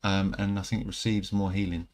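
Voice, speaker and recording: Man, very low voice, slightly croaky, relaxed rambling game commentary, self-correcting. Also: normal speed. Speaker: gaming channel host. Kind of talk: casual gameplay commentary. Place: indoor room at home.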